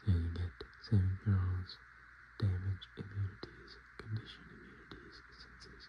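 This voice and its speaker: A man speaking softly and in whispers, chatting casually as an ASMR host with an unhurried, self-deprecating manner. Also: low voice, little pitch movement, highs cut off, noisy background. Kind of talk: soft-spoken and whispered ASMR, casual chat.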